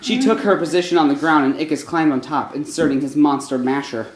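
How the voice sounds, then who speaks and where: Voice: medium pitch, brisk, over a noisy crowd. A performer reading a story live in an irreverent, profane comic style with casual asides. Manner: profane, irreverent, breaks up laughing. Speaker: story reader, comedy troupe member. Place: indoor live show before listeners. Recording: roomy live venue, clear background noise.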